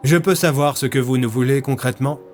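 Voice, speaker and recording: A man's voice: medium pitch, fairly quick, soft background music, natural voice. A man playing a sarcastic, distrustful police inspector in an audio drama, with a dry, blunt way of talking. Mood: skeptical. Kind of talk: French audio fiction acting.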